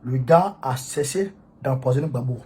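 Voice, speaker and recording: A man's voice: mid-range voice, moderate pace, darkish tone, slightly rough. A man, who has a cold, giving an impassioned political monologue to supporters in an emphatic, repetitive, preachy way. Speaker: political activist addressing supporters.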